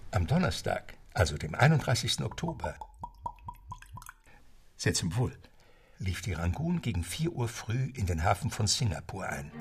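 A man's voice: fairly low pitch, very animated, moderate pace, long pauses, slight background noise. A man narrating a radio play in a scripted storytelling style. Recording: music behind the narration.

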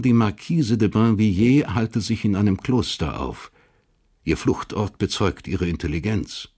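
A man reading scripted literary narration for a German radio crime drama in a sober, measured, detached way. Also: low voice, darkish tone, husky.

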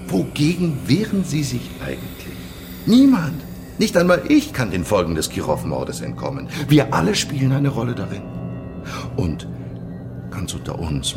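An actor in a German radio play playing a cynical, coolly menacing Soviet chief prosecutor, with theatrical, drawn-out emphasis that can sink toward a whisper. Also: male voice, mid-range voice, vocal fry. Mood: quietly menacing.

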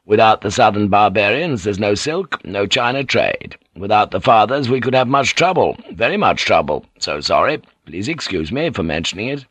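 A man's voice: on the low side, lively intonation, normal speed, few pauses, fairly bright tone, husky, dry recording. A man doing a stern old samurai lord. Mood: insistent. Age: 60s.

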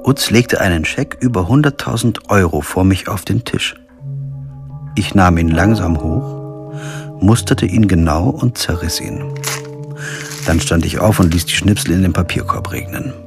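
An actor playing a stubborn, principled lawyer who tells a radio crime story in measured, literary German prose style. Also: male voice, deep voice, expressive. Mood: brooding, measured. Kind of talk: first-person radio crime drama narration and dialogue. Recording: music behind the voice.

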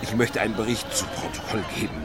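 Man playing a low, stubborn sea captain, busy background. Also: fast talker, over a noisy crowd, lightly acted. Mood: stiff, hesitant. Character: fat, blustering male ship's captain, stubborn. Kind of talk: German radio drama acting.

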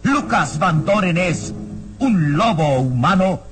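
An actor as the solemn, suspenseful narrator of an old radio serial, delivering scripted dramatic narration. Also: male voice, high voice, expressive, takes it slowly. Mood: ominous. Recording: old radio serial over loud music.

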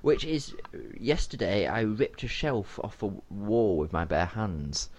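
A man's voice: on the low side, big pitch swings, a little slow, a little mellow. A man co-hosting a casual podcast chat, bantering playfully and laughing often. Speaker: podcast co-host.

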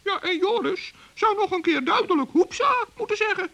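Teeny forest gnome, really really high voice, sweet and polite, kids radio play. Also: male voice, expressive, moderate pace, creaky, bright, forceful tone, full character voice. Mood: friendly. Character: soft-hearted, friendly little male forest gnome.

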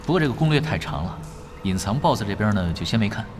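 A self-assured gaming expert in an audio drama. He talks at a quick clip with lively inflection, casual and matter-of-fact, over a music bed. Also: lowish voice. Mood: unhurried, matter-of-fact. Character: calm, self-assured expert male online gamer. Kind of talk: Chinese audio drama acting.

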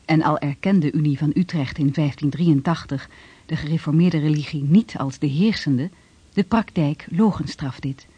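A narrator reading a formal scripted historical narration in a measured way. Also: mid-range voice, dark tone, slightly rough, dry recording, lo-fi sound.

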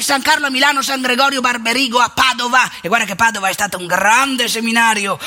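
Creaky, wry old priest. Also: female voice, medium pitch, sharp tone. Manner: colloquial, teasing, rhetorical questions and tag words. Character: aging Veneto ex-seminarian priest, wry, wounded, ranting storyteller. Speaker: stage monologue actor. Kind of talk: theatrical monologue addressing the audience.

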